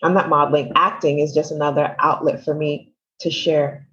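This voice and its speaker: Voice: mid-range voice, level tone, normal speed, a bit bright. A guest with a Nigerian accent, a model turned actor, talking enthusiastically with many restarts and fillers. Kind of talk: casual interview chat. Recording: dull lo-fi sound.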